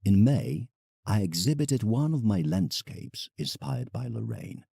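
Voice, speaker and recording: An actor playing an ambitious, self-mocking painter, narrating an audio drama in a dramatic, confessional way. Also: male voice, on the low side, very animated, measured pace, gravelly, warm tone, slightly rough, no echo, clean studio sound, heavily acted. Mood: proud, boastful. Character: proud, ambitious, self-mocking British landscape painter writing letters.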